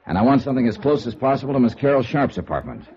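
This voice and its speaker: An American actor playing a wry, brash, hard-boiled insurance investigator in a 1950s radio noir drama. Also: male voice, mid-range voice, sing-song, racing, warm tone, lightly acted. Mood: brisk, probing. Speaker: lead actor of a radio detective series. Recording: muffled vintage radio recording with music.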